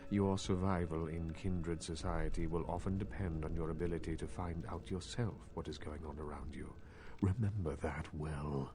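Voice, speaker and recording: An actor playing a courteous, stern elder vampire regent in a video game, speaking in a formal, measured, condescending manner. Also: male voice, very deep voice, fairly even intonation. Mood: instructive, stern. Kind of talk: scripted video game voice acting.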